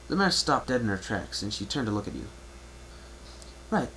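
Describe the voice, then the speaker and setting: Casting: male amateur storyteller, lowish voice, scripted narration, faintly noisy home recording. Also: very animated, fast talker, bright, forceful tone. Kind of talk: dramatic reading of fan fiction, second-person narration.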